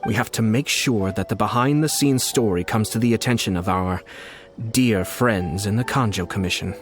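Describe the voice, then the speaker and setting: A cunning young lord from a video game played by a man, polite and composed. His lowish voice is measured and formal, with music playing behind it. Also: lightly acted. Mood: calculating.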